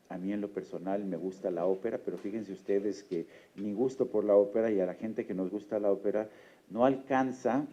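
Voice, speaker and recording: Deep-voiced man moderating a presentation. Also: highs cut off. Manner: rhetorical, animated, with fillers. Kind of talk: public presentation.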